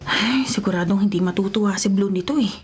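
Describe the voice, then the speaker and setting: A woman's voice: medium pitch, brisk, close to an everyday voice. A woman with a Filipino accent playing a meek, hesitant young tomboy in a radio drama. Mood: uneasy, inward musing. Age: young adult. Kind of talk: Tagalog radio drama acting. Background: music bed.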